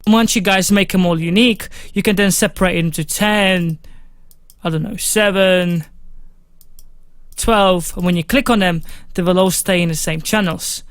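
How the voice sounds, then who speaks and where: A young man with a fairly high voice, giving a casual, instructive music-production tutorial from a small home studio, with many fillers. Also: fairly even intonation, moderate pace, fairly bright tone, clear, resonant tone, dry recording. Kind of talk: screen-recorded software tutorial.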